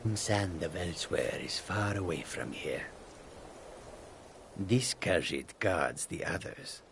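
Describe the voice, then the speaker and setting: An actor playing a gruff, wary cat-man caravan guard in a fantasy game, with a gravelly voice. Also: lively intonation, takes it slowly, background noise, heavily acted. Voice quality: low, gravelly.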